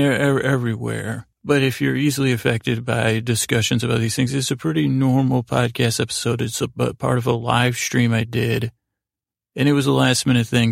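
Very creaky-voiced man, rambling podcast host. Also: medium pitch, no echo, clean studio sound. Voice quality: creaky, gravelly. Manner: halting, rambling, often doubling back mid-sentence. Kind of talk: casual, unscripted podcast intro monologue.

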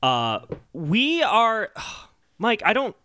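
A man's voice: high voice, wildly swooping pitch, extremely slow, sharp tone, a little hiss or hum. A man hosting a comedic music podcast, chatting casually and arguing his points with mock-indignant emphasis. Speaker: podcast host.

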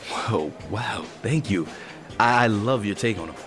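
A man's voice: on the low side, a little slow, a bit bright, faint music behind. An actor playing a mild, reassuring male partner with a slightly hesitant manner in a naturalistic audio drama. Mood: bashful. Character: gentle, supportive male partner, bashful aspiring writer.

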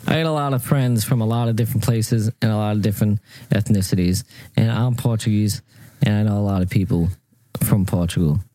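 A man's voice: fairly low pitch, steady tone, a little fast, dark tone, no room sound, rough recording. A man co-hosting a podcast in casual chat, crude and joking, laughing often. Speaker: podcast co-host.

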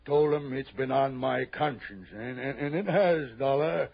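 A male actor playing a gross, wheezing rich man in an old radio drama, halting and blustering as he repeats himself. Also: medium pitch, gravelly, breathy edge, muffled recording. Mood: frightened, guilty. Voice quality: wheezy, labored breathing. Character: gross, wheezing, frightened rich fat tycoon. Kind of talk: 1960s radio drama acting. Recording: band-limited old radio recording.

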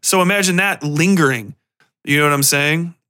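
A man hosting a podcast, giving a casual, animated and opinionated film rant in slangy, profane language. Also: a little high, normal speed. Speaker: podcast host.

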